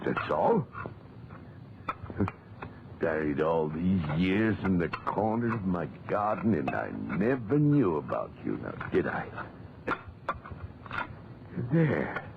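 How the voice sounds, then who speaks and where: An actor playing a kindly, talkative old English gentleman gardener who mutters to himself, in a 1940s radio drama. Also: low voice, very animated, slow, gravelly, long pauses, exaggerated voice. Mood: effortful, muttering. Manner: rambling, muttering to himself and to things. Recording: muffled noisy 1940s radio recording.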